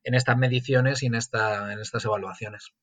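A man's voice: medium pitch, steady tone, a little fast, a bit bright, no echo. A man from central Spain, a guest lecturer answering interview questions thoughtfully, with frequent fillers and restarts. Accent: Spanish (Castilian). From Castilla-La Mancha, Spain. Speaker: guest, sports management lecturer. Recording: dull lo-fi remote audio.

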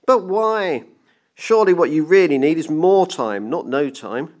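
A man playing an anxious clockmaker, pitched high. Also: normal speed, close to an everyday voice. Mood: frightened. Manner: stammering, anxious, careful. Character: timid, pleading male clockmaker placating his dangerous friend. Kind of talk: dramatised audiobook reading.

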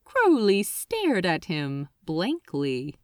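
A woman narrating fiction as an audiobook reader, with dramatic, deliberate phrasing. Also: lowish voice, wildly swooping pitch, dry recording, studio quality. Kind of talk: expressive scripted fiction narration.

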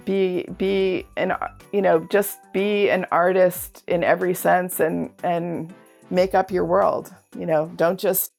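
A woman's voice: on the low side, steady tone, takes it slowly, vocal fry, darkish tone, soft background music. A woman guest giving advice in casual interview talk, informally repeating and rephrasing for emphasis. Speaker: artist, podcast guest.

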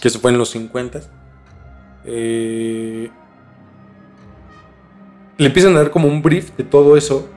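A man's voice: mid-range voice, fairly even intonation, measured pace, pauses often, a little mellow. A man with a Mexican accent telling a story in a casual, slangy podcast chat as host. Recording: music bed behind the talk. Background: music.

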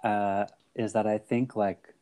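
Low-voiced man rambling casually. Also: flat delivery, takes it slowly, warm tone, clean tone. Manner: rambling, many fillers and restarts. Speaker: podcast host. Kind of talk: casual podcast chat.

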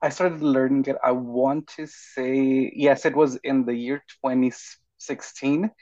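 A man's voice: mid-range voice, fairly even intonation, a little slow, mellow, dry recording. Reflective man on a dull lo-fi recording. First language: English and Spanish. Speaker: podcast guest, language learner. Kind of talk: conversational interview answers.

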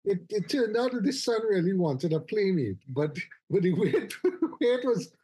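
A man tells stories and teases his co-host in a loose, playful chat, laughing often as he talks. His voice sits high for a man and has a husky edge. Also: big pitch swings, normal speed, dark tone. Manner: joking, teasing, laughs often. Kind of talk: casual chat.

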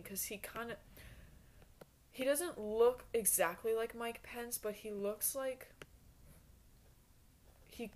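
A woman's voice: mid-range voice, darkish tone. A woman in her twenties hosting a solo podcast in a rambling, casual chat, blunt and self-deprecating, swearing freely. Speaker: podcast host.

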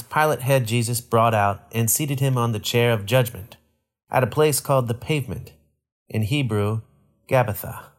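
A man reading a Bible narrative aloud in a solemn, measured and formal way. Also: medium pitch. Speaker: scripture reader.

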